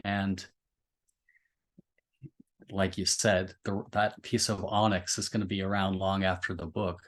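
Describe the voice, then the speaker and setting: A man in a thoughtful literary discussion, speaking reflectively and feeling his way through roundabout, halting phrasing. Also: low voice, level tone. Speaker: podcast discussion participant.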